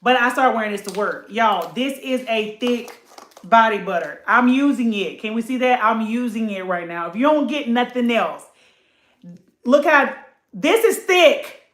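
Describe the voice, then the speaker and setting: A woman's voice: on the high side, expressive, unhurried, fairly bright tone, roomy sound. A woman, chatting casually to camera about a beauty haul and addressing viewers as y'all. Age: late 30s.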